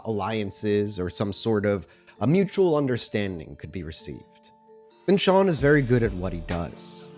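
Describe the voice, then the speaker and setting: A man as game master, giving atmospheric tabletop game narration with dramatic, deliberate phrasing. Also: lowish voice, darkish tone. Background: music.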